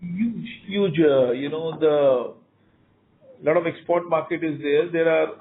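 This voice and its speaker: Phone-line audio, Indian man, company executive, higher-pitched voice, emphatic, repetitive, earnings call answers. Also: takes it slowly, slight background noise. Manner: emphatic, repeats words, frequent "you know".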